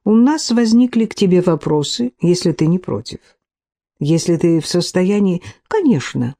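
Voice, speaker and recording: A woman narrating a novel as an audiobook, reading every character's lines in her own unhurried voice. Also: on the low side, animated, dark tone.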